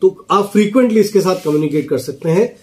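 Man, thirties, fairly high voice, soft-edged mellow tone, casual product reviewer, explanatory, English phrases mixed in. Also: expressive, quick pace. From India. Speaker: product reviewer and walkie-talkie seller. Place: small office, indoors.

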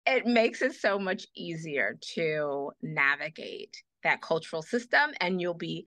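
Bright-voiced earnest woman podcast guest. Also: low-pitched, animated, unhurried, no room sound. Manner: passionate, repeats phrases for emphasis. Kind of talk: earnest advocacy in conversation.

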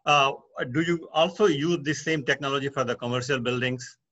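Brief: man in his sixties, news interviewer, forceful bright tone, stop-start questions, muffled call audio. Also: mid-range voice. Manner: halting questions, repeated words and fillers. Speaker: interviewer, real estate news host. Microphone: video call webcam mic. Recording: dull video call audio.

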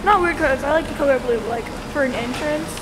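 A young teenage girl, about thirteen, chatting casually and excitably as a vlogger into a handheld camera. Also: high-pitched, fast, over a noisy crowd. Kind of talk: casual vlog chat. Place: busy airport terminal, later a car. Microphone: handheld camera at arm's length. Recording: noisy handheld camera audio, bustling airport.

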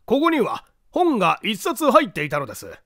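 A man's voice: sits high, wildly swooping pitch, moderate pace, bright, forceful tone, no room sound, studio quality, voice pushed up, full character voice. A man voicing a courteous, anxious lord of a castle in a mystery audiobook, speaking formally and theatrically. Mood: grave, explanatory. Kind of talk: dramatized Japanese mystery audiobook reading.

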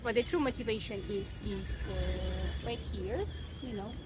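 Chatty woman vlogging outdoors by phone. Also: mid-range voice. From Ukraine. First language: Russian. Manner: chatty, many pauses and fillers, laughs often. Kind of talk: casual vlog chat. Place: outdoors in a city park. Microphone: phone camera held at arm's length. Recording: outdoor phone recording with clear ambient noise.

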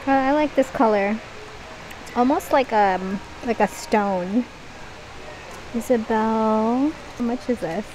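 A woman's voice: fairly high pitch, slow, long pauses, darkish tone. A woman doing a casual shopping vlog, chatting and thinking aloud as she browses, recorded out in noisy public stores. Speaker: shopping vlogger. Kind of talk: casual shop-with-me vlog chat. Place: inside busy stores and a mall. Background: shop and mall background noise.

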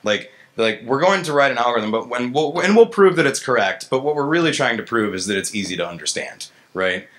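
A man in his thirties speaking informally at a stand mic as a conference speaker, halting and conversational, often checking in with "right?". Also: mid-range voice, expressive, fairly bright tone. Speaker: conference speaker, software engineer. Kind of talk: informal lightning talk, answering questions. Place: gallery event space, indoors, on stage. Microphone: handheld-style vocal mic on a stand.

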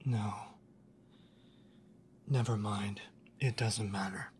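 An actor playing a reserved, lovesick young classmate in an ASMR roleplay, speaking in a soft, hesitant, formal way, often near a whisper. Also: low voice, steady tone, slow, a little hiss or hum. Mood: resigned, restrained.

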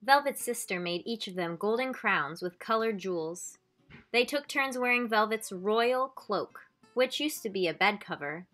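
A woman reading a children's picture book aloud expressively, drawing out key words with deliberate emphasis. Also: mid-range voice, expressive, a bit bright, soft background music. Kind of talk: expressive storybook read-aloud.

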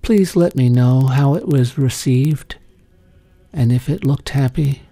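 A wistful old woman from a storybook, read by a man, speaks tenderly and earnestly. The voice is soft and mellow, very unhurried, and recorded dry. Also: mid-range voice, no echo. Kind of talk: storybook character reading.

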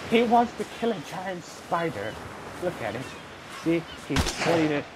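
Young man, host of a game session, lively and animated, slightly high voice, noisy game audio. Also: a little slow. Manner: animated, swearing, laughing. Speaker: server host running game commands. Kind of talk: casual gaming chat.

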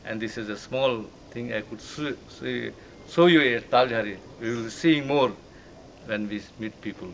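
A man's voice: mid-range voice, measured pace, vocal fry, a bit bright. A middle-aged man with an Indian accent, a bishop giving a formal, gracious welcome and history talk to camera. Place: outdoors in front of an old church. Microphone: camera mic from a few metres. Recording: muffled outdoor camcorder with ambient noise.

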